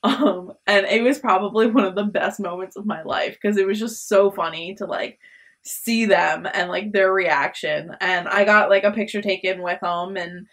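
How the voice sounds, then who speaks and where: A woman's voice: medium pitch, normal speed, a bit bright. A young woman hosting her own vlog, telling a funny story in a chatty way with lots of fillers and laughs. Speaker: vlog host. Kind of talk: casual storytelling.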